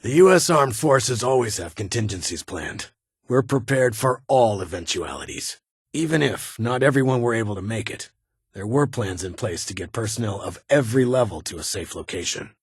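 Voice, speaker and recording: Husky, low-voiced ghoul military officer. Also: male voice, expressive, moderate pace, dry recording. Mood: firm, confident. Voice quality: raspy, gravelly. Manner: clipped, formal military delivery with dry authority. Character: stern ghoul military officer in an underground vault, guarded and dutiful. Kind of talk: video game character dialogue.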